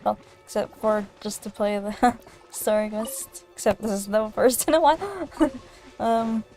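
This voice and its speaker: A woman's voice: a little high, unhurried, dark tone, faint music behind. A woman chatting casually and in a giggly, rambling way while streaming a video game. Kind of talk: casual gameplay chat.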